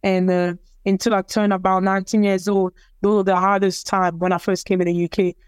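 A woman's voice: mid-range voice, little pitch movement, fairly quick, clear, resonant tone, no room sound. A young woman from Cameroon whose first language is French, telling her story in a casual interview, hesitant and repeating words with many fillers. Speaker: interviewee, athlete.

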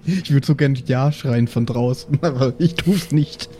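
A man's voice: medium pitch, a little slow, dark tone, a little hiss or hum. A man chatting casually as a player in an improvised tabletop game.

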